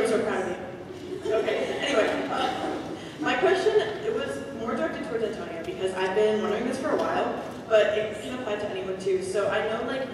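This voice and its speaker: A woman in an audience asking a question in a casual, halting, rambling way. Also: medium pitch. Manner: halting, informal. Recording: noisy echoey hall from far off.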